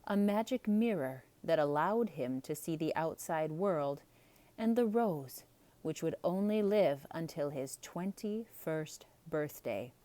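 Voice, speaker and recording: Woman, storyteller, theatrical children's narration, slow pace, dry close sound. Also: low voice, animated, mellow, no room sound.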